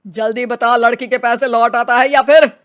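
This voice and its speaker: A high-pitched, blunt and scornful man from a cartoon story, acted out by a woman. The delivery is dramatised dialogue, hard-edged and demanding. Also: fairly even intonation, normal speed, fairly bright tone, natural voice. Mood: angry, threatening. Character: male characters: gruff policemen and scornful angry men.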